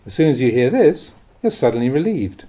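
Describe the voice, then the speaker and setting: A man reads a spiritual teaching aloud in a measured, expressive, explanatory way, like an audiobook. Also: medium pitch. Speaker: reader of Buddhist teachings. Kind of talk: audiobook reading of a spiritual talk.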